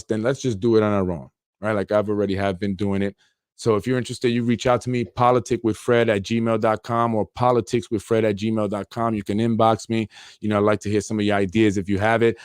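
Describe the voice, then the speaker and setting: A man's voice: on the low side, darkish tone, no room sound, studio quality. A man in his forties from Yonkers, New York, hosting his own podcast in an earnest, plainspoken solo monologue, often repeating himself and saying "you know.". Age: 40s. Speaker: podcast host.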